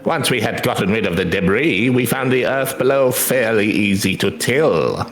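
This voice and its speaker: A man's voice: lowish voice, lively intonation, normal speed, lightly acted. Humble, earnest farmer , played by a man, game dialogue, formal and courteous, echoing room, light music. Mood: hopeful, thoughtful. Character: earnest, humble adult rice farmer, polite and enthusiastic. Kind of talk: scripted game dialogue read aloud. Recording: roomy echo, music behind.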